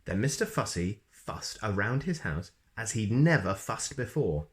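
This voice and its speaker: A man narrates a children's storybook in a fairly low voice. His intonation is very lively, rising and dropping for playful emphasis. He leaves dramatic pauses between phrases. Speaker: storybook reader.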